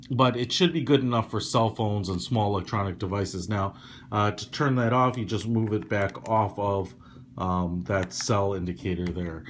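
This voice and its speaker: A man with a medium-pitched voice walks through a product in a casual, hands-on review style. He explains things in a measured way and qualifies his opinions as he goes. Some light noise sits behind the voice. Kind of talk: casual hands-on gadget demonstration.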